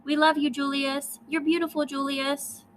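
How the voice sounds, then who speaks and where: A woman's voice: sits high, level tone, normal speed, a little hiss or hum, pitched above the performer's own voice, close to an everyday voice. A woman voicing doting storybook parents who gush and fuss in a sing-song, adoring way.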